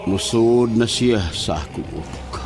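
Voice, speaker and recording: A man acting an entranced man in a horror audio drama, speaking in a low, gravelly, halting, drowsy murmur. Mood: dazed, entranced. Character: entranced man, Dominic, slowly describing creeping visions. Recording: music bed under the voice.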